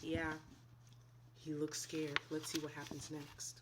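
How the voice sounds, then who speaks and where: A woman's voice: deep voice, completely flat delivery, slow pace, heavy background noise. A woman reading a children's picture book aloud expressively, in an instructive way, asking young listeners simple questions. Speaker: read-aloud storyteller, teacher.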